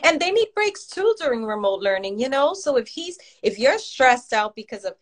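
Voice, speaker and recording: A woman's voice: high-pitched, very animated, normal speed. A woman interviewing in a casual, conversational way, with a supportive and agreeable manner. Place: remote live video chat.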